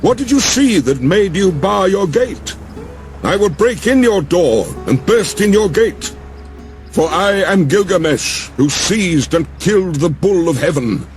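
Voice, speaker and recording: A man's voice: high voice, lively intonation, measured pace, pitched above the performer's own voice. Man acting a raised-voiced, defiant hero-king. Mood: angry, threatening. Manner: loud, declamatory, impassioned. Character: weary, defiant hero-king, raging and desperate. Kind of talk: dramatized epic audiobook. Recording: music behind the voice.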